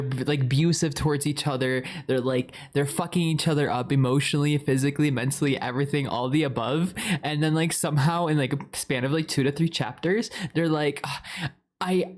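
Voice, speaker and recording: A young man playing a chatty, lovestruck boyfriend in an improvised ASMR roleplay, rambling in a playful, dramatic way. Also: medium pitch. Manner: rambling, giggly, playfully dramatic, frequent swearing.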